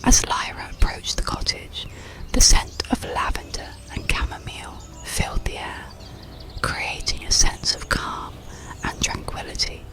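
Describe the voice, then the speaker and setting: Slow whispering ASMR bedtime storyteller. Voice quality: breathy unvoiced whisper. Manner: slow, soothing, gentle delivery.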